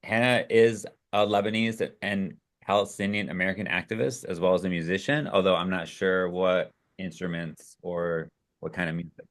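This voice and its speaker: A man's voice: low voice, fairly even intonation, moderate pace. A man moderating a webinar, introducing the next panelist over a video call in a hesitant way, full of fillers. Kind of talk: introducing a speaker.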